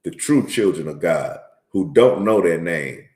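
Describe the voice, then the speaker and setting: A deep-voiced man gives an informal Bible teaching, circling back over his points and ending phrases with "man." When he reads scripture, his voice climbs higher and louder and slows right down. The room around him is echoey. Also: expressive. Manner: tags lines with "man", repeats himself; reads scripture aloud in a raised, louder, slower voice. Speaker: religious teacher.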